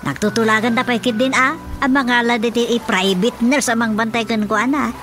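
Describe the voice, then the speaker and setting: A woman's voice: a little high, fairly even intonation, fairly quick, a bit bright, faint music behind, close to an everyday voice. A woman playing a chatty, fretful wife in an Ilocano radio comedy drama, with an Ilocano accent. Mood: concerned.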